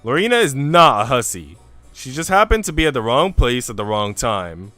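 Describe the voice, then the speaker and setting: A man's voice: medium pitch, sing-song, moderate pace, bright, forceful tone, dry recording, soft background music. A man voicing a cool, protective housemaid in a visual novel, composed and politely sardonic. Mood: indignant. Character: cool, calm, protective housemaid, defiant and dry.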